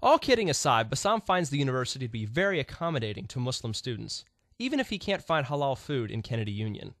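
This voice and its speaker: A man's voice: medium pitch, sing-song, quick pace, fairly bright tone, slight background noise. A man reading scripted narration for a student documentary.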